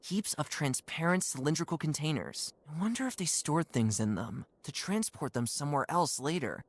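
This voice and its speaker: A young actor voicing an earnest, puzzled animal adventurer in a cartoon game, wondering aloud with expressive observations. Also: medium pitch, normal speed, natural voice. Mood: curious.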